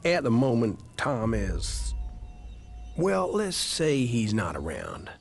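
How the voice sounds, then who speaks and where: Wry, animated outlaw rogue. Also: male voice, medium pitch, takes it slowly, creaky, slight background noise, heavily acted. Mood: evasive. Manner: sardonic, rueful. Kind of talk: video game voice acting.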